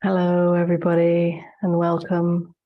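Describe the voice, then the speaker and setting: Slow, flat-voiced woman with a congested voice. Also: lowish voice, lo-fi sound. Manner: slow, soft-spoken, gently guiding. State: congested, getting over the flu. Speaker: spiritual teacher hosting a webinar. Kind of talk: guided meditation and talk.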